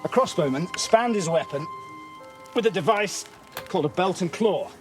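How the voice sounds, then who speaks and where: Guy with a highish voice explaining like a weapons expert, clear music underneath. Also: expressive, normal speed. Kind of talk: explanatory documentary commentary.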